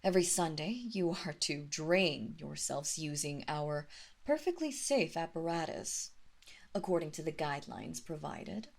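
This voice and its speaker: A woman playing an imperious, coolly menacing vampire mistress in a roleplay audio drama, speaking formally and condescendingly, at times dropping into a breathy whisper. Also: sits low, expressive, exaggerated voice. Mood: cool, commanding. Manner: slow, deliberate, formal, condescending.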